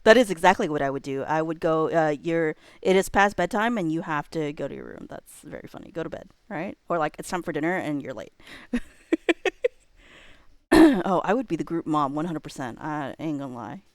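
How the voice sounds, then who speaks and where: A woman's voice: low-pitched, lively intonation, dry recording. A woman livestreamer chatting casually with her viewers, rambling and thinking aloud in a playful way. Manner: playful, quick to laugh. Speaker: livestreamer and host reading chat.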